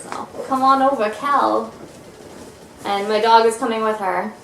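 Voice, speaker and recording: Young woman, twenties, podcast host, chatty and teasing, unhurried delivery, slightly high voice, echoey living room, faint noise. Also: fairly even intonation, a bit bright. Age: 20s. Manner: chatty, teasing, lots of fillers. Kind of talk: casual chat. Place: home living room by an electric fireplace.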